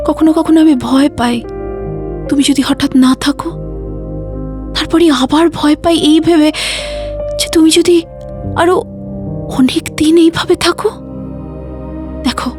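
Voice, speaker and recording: A woman performs a daughter worn out by caring for her father, in an intimate audio drama. Her voice is high and her delivery slow, confiding and full of feeling. Music plays behind her. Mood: anguished, exhausted.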